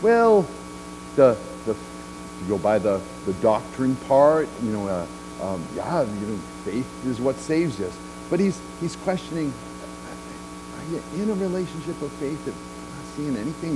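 A middle-aged male pastor preaches in an easy, conversational way, tossing in "right?" and "um" as he walks the chancel. His voice sits at an ordinary middle pitch. Soft music plays clearly underneath him in a big church. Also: big pitch swings, moderate pace, low-quality recording. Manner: walks the chancel gesturing while speaking. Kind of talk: sermon. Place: large church sanctuary.

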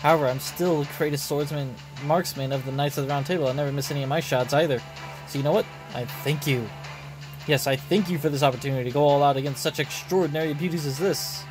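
A man's voice: a little high, steady tone, fast talker, over music, natural voice. A man voicing a vain, grandiose male knight from a game, full of drama. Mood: dramatic, aggrieved.

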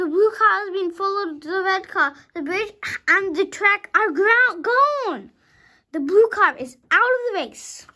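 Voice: very high voice, pushed and bright. A young child of about five reading a storybook aloud dramatically, sometimes stumbling over words and rereading them.

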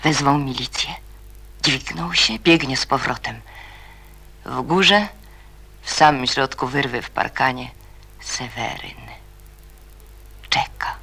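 An actor as the tense narrator of a radio drama, telling events in terse, hushed, breathy phrases. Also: male voice, mid-range voice, slow, long pauses, slight background noise, full character voice. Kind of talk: terse, suspenseful scripted narration.

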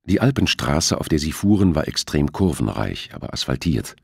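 Very deep, husky male narrator. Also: sing-song, normal speed, darkish tone, dry recording, clean studio sound. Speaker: audiobook narrator. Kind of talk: scripted thriller novel narration.

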